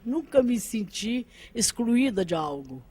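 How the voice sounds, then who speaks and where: A woman's voice: on the high side, big pitch swings, a little slow, mellow, slightly rough, faint music behind. A woman whose first language is Arabic, giving personal recollections as an interview guest, earnest with hesitant restarts.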